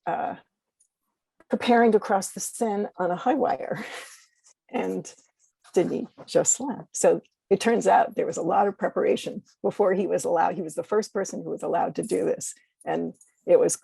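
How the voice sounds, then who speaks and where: An American woman, a poet, speaking as a podcast guest in a measured literary way, as if introducing or reading her own work. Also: lowish voice, fairly even intonation, vocal fry, mellow, slightly rough, dry recording, low-quality recording. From United States. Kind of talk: conversational introduction and poetry reading.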